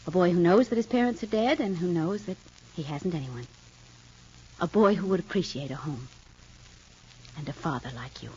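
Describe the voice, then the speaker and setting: An actress playing a devoted wife in an old radio soap, speaking gently and a little hesitantly, on a lo-fi vintage recording. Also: female voice, fairly low pitch, expressive, normal speed, pauses often, slightly rough. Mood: tender. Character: devoted young wife longing to adopt. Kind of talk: 1940s radio acting.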